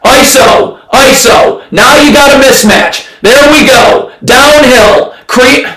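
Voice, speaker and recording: A man's voice: very high-pitched, slow. A man doing live basketball play-by-play reactions on a stream, exasperated and blunt, often raising his voice and repeating himself. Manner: exasperated, ranting, often shouting. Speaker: livestream sports commentator. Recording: roomy echo, distant from mic.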